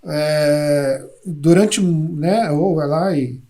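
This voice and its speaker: A man, a hospital psychologist explaining his work as a podcast guest, pausing and repeating words while he looks for them. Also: a little high, mellow. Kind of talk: conversational interview.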